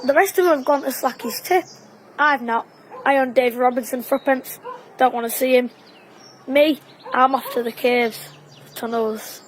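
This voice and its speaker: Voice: sits high, moderate pace, long pauses, lightly acted, poor audio. An actor playing a cocky, teasing wartime boy in an audio drama, talking in clipped, colloquial phrases. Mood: daring. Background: birdsong.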